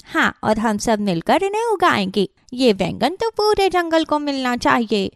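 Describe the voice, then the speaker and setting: A woman's voice: high voice, big pitch swings, a little slow, no echo, clean studio sound, exaggerated voice. A woman voicing a cheerful, helpful small female woodland animal with bubbly enthusiasm in a children's cartoon. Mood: enthusiastic. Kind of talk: Hindi children's cartoon story acting.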